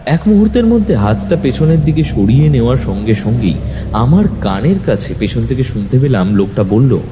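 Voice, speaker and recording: A man narrating a first-person horror story in a suspenseful, expressive, dramatic way. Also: mid-range voice, a little fast. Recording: faint background noise.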